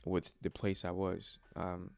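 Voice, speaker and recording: A man's voice: low voice, completely flat delivery, slow, creaky, clean tone, dry recording. A young man from Congo, whose first language is not English, speaking in a motivational personal vlog, earnest and hesitant with many fillers and restarts. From Democratic Republic of the Congo. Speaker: vlogger.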